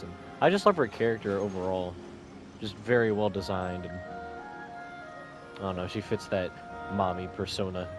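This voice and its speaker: A man giving casual gameplay commentary as a gaming streamer. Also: fairly low pitch. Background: game music.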